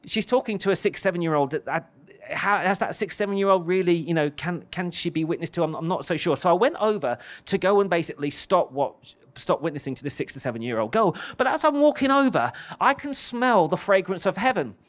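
A man preaches with a high, light voice. He tells his story in halting runs full of restarts, then presses his points home with firm, emphatic lines. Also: expressive. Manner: rambling storytelling with frequent restarts, building to emphatic declarations. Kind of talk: sermon with a personal story.

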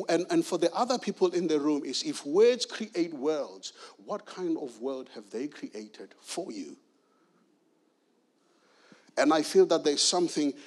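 A middle-aged man from South Africa preaching a sermon with emphatic, repeated key phrases. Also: mid-range voice.

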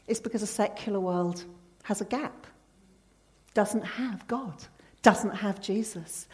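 A woman's voice: medium pitch, a little mellow. Woman preaching an earnest sermon. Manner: earnest, emphatic, quotes scripture and hymns.

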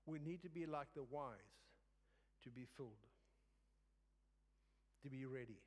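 A man giving a sermon as a guest preacher, in a halting way with phrases repeated for emphasis. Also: medium pitch, husky. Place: church service in a large room. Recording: reverberant hall.

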